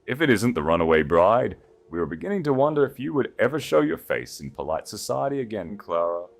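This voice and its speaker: A man's voice: lowish voice, very animated, a little fast, gravelly, voice pushed up. A man as a sneering, spiteful society woman. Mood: falsely sweet. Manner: sneering, falsely sweet or venomous.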